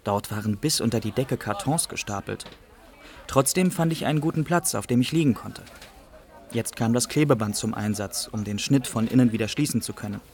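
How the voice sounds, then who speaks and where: A man's voice: mid-range voice, fairly quick, darkish tone, lightly acted. A man playing a resourceful, wry young refugee narrating in a literary radio play. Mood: wry, factual.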